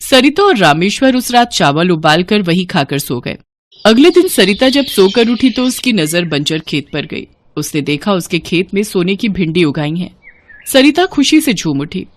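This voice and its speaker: A woman's voice: lowish voice, sing-song, normal speed, dry recording. A woman narrating a scripted moral story as a storyteller.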